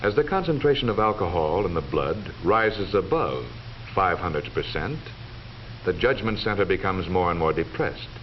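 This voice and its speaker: An American man narrating a 1940s educational film in a formal, measured, authoritative and precise manner, on an old film soundtrack. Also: low-pitched, lively intonation, muffled recording, a little hiss or hum.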